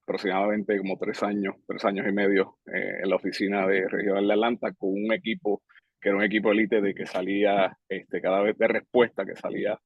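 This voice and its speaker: A man, answering interview questions in a relaxed, measured way with frequent hesitations. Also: fairly low pitch, gravelly, slightly rough, dry recording. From Puerto Rico. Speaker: guest, public safety director. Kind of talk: casual interview talk. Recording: dry, slightly dull podcast audio.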